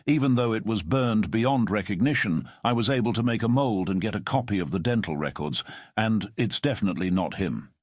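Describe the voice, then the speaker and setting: A man voicing a calm, commanding middle-aged former spy who speaks in a measured, formal way. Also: lowish voice, no room sound, studio quality. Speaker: storyteller. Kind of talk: audio drama storytelling.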